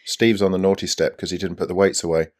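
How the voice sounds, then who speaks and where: A middle-aged man with a very low voice co-hosts a couples podcast. He jokes in a dry, offhand way through the casual chat. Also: little pitch movement, fast. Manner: joking, dry. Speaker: podcast co-host.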